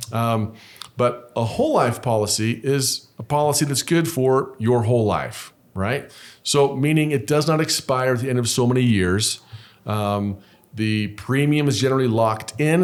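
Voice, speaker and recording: Man explaining insurance, calm and methodical. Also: medium pitch. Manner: patient, explains step by step with examples. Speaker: insurance broker co-host. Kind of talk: explanatory conversational chat.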